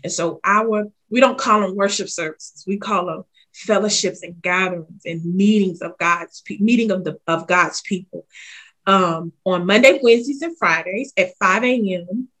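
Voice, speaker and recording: Woman, 40s, ministry leader guest, candid and lively, folksy chat. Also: mid-range voice, moderate pace, rough recording. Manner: lively, talks straight from the heart, calls listener honey. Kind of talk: casual interview chat.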